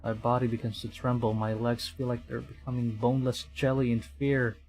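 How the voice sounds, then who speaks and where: A man's voice: medium pitch, flat delivery, brisk, darkish tone. A man live streaming a game playthrough, reading text aloud and adding hesitant, stammering asides. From Philippines. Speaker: VTuber streamer. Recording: music behind the voice. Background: game music.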